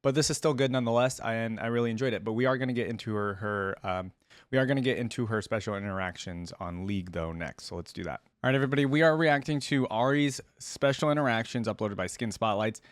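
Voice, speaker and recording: A man's voice: fairly low pitch, quick pace, dry recording, clean studio sound. A man giving casual reaction commentary as the host of a video.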